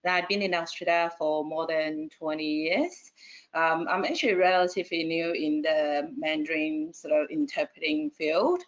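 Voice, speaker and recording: Woman from Taiwan, low-pitched, soft-spoken, dull call audio. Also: moderate pace. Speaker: interpreter. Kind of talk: self-introduction on a video call.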